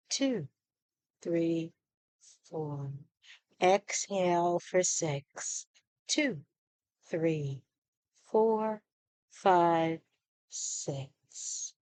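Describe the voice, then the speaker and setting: Slow-paced woman guiding a meditation. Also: fairly low pitch, a little mellow, no room sound. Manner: soothing, deliberate, with pauses between short phrases. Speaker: guided meditation leader. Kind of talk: guided meditation.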